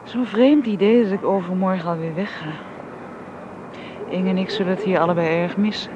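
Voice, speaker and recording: Woman acting a gentle young woman, muffled. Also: fairly low pitch, a little fast, natural voice. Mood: dreamy, happy. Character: gentle, deferential young woman, fond of a child. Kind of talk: naturalistic radio drama acting. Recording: muffled, noisy old radio recording.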